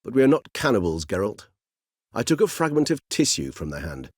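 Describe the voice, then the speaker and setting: Game role: elderly vampire gentleman-scholar. Measured, bookish, gently weary; a touch husky, recorded close and dry. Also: on the low side, big pitch swings, dry recording. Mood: thoughtful. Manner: measured, courtly, bookish phrasing with thoughtful hums. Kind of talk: video game character dialogue.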